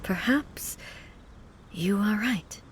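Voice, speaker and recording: A woman's voice: medium pitch, extremely slow. A woman playing a regal female ruler in a video game, composed, stately and formal. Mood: subdued. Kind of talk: scripted video game voice acting. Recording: faint background noise.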